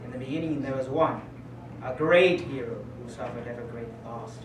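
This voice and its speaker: Young man, student narrator, mock-epic reading, slightly high voice, echoey, distant. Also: moderate pace. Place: classroom, indoors. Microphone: distant camera microphone. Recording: roomy, noisy classroom from far off.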